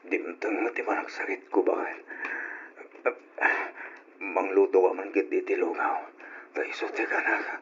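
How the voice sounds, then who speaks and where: A man's voice: deep voice, level tone, moderate pace, slight background noise, lightly acted. An actor playing an ailing, stern but loving old rural father in an Ilocano radio drama, weary and admonishing. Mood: ailing, pained. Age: older. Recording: dull lo-fi old radio recording.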